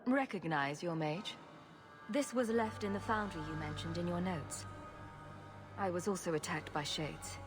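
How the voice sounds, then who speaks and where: An actress playing a composed adventurer heroine, direct and dry, in a fantasy video game. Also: female voice, fairly low pitch, unhurried, music in the background, natural voice. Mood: matter-of-fact.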